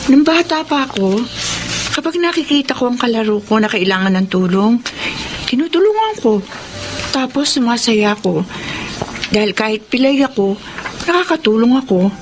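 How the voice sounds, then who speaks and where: An actress playing a modest, self-doubting social worker in a Filipino radio drama, polite and hesitant, with a Filipino accent. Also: female voice, on the high side, lively intonation, normal speed, long pauses, background noise, close to an everyday voice. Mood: heartfelt.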